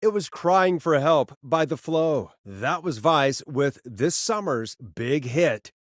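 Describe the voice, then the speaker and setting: Game role: a cheeky male late-night rock DJ, medium-low voice, highly animated, theatrical patter, dry close sound. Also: slow pace, no echo. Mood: showy, upbeat. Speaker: radio host. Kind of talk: radio DJ patter and game voice lines.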